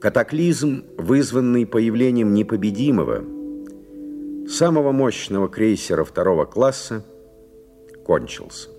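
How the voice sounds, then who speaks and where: A man narrating a science-fiction audio drama in a measured, solemn storytelling manner. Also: fairly low pitch, very animated, over music.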